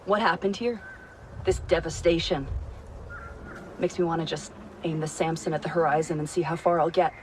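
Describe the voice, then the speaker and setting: An actor playing a weary, reflective pilot from a desert homeland, calm and frank, in a video game cutscene. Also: male voice, fairly high pitch, moderate pace, pauses often, a little hiss or hum, lightly acted. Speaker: game character. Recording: game cutscene with loud music.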